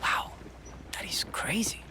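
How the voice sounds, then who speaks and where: A man's voice: slow, whispered, close to an everyday voice. An actor playing a chatty, smirking man in a video game, making insincere small talk. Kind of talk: video game cutscene acting. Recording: loud noise behind the voice.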